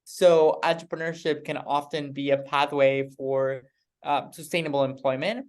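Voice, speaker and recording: A man's voice: a little high, fairly even intonation, moderate pace, clean tone. A man from Mexico introducing himself on a panel, upbeat and repeating words as he goes.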